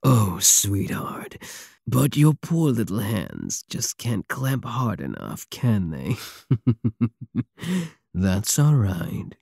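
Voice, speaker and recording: A man's voice: lowish voice, wildly swooping pitch, drawn-out words, vocal fry, a little mellow, slightly rough, no room sound, clean studio sound, heavily acted. A man playing a swaggering, obsessive space pirate king in an intimate ASMR roleplay, flirtatious and menacing with a teasing, theatrical drawl. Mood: mocking, amorous. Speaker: audio roleplay voice actor.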